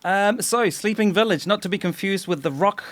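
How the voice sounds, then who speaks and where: A man's voice: high voice, moderate pace, a bit bright. Upbeat New radio host, man. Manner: upbeat, chatty. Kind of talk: casual radio interview. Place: radio studio.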